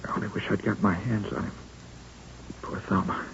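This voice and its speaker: An American man playing a wounded schoolteacher in his thirties in a 1950s radio drama, sounding pained, weary and shaken, with halting delivery. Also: on the low side, level tone, vocal fry. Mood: bitter, grieving. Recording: muffled vintage radio recording, background noise.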